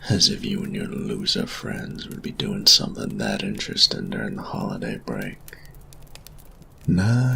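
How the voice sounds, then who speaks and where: Man acting very deep, gravelly, cocky flirty bully. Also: bright, forceful tone, a little hiss or hum, full character voice. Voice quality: breathy, gravelly low voice. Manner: slow smug drawl, taunting. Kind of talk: intimate roleplay audio acting.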